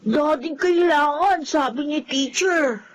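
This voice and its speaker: Small wistful schoolchild for audio drama: Filipino accent, high, unhurried delivery, clingy. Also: female voice, low-quality recording. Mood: sleepless, insistent. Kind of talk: dramatized audio story acting.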